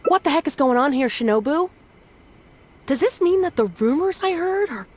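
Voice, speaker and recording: A woman's voice: high voice, expressive, normal speed, a little hiss or hum. An actress playing a polite, inquisitive young townswoman in a video game, questioning and reacting openly. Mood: surprised, suspicious.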